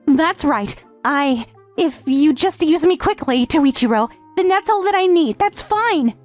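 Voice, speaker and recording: A woman's voice: high voice, lively intonation, a little slow, soft background music. A woman playing a lovestruck, brazenly submissive teenage step-sister in an anime-style romantic comedy dub. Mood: earnest, pleading.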